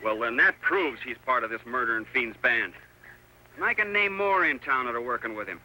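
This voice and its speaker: An American actor playing a blustery, folksy Western sheriff in an old-time radio drama. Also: male voice, medium pitch, animated, a little fast, bright, forceful tone, rough recording. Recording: old radio broadcast with music behind.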